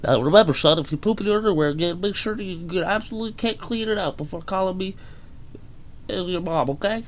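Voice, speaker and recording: A man's voice: high-pitched, fast, voice pushed up, natural voice. A man voicing a fussy, doting cartoon father who instructs carefully, in a story reading.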